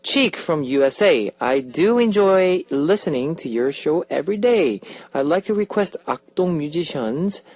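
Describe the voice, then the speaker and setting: A man's voice: a little high, expressive, normal speed, a little mellow. A man who grew up in Australia, hosting a radio show, reading listener messages and chatting with his co-host. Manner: upbeat, reads listener messages and station announcements.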